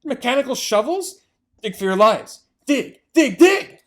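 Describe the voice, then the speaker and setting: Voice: mid-range voice, lively intonation, normal speed, pitched below the performer's own voice, close to an everyday voice. A reader doing a frantic father fox. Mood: panicked. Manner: urgent, breathless shouting. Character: desperate father fox, brave and protective.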